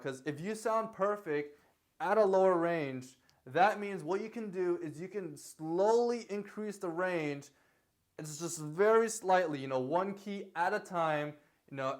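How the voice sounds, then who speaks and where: Chatty male vocal coach with a higher voice. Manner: loose, repetitive talk with many fillers and "okay?" checks; sings short lines to demonstrate. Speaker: singing teacher. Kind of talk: casual vocal lesson.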